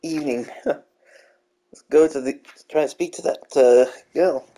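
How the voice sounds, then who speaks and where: A man casually reacting to game cutscenes as a let's player, in short, halting remarks. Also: a little high, mellow. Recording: game music behind the voice.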